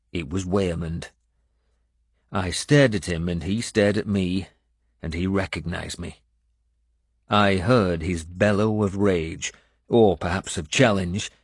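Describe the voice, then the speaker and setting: A man reading a scripted first-person audiobook in a measured storytelling manner. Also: deep voice, dry recording, studio quality. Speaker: audiobook narrator.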